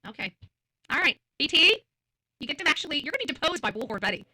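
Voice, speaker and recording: Combative, very bright-voiced fast-talking woman. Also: high voice, big pitch swings. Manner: combative, confrontational, blunt and profane; emphatic, repeats phrases. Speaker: livestream host. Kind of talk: livestream rant to viewers.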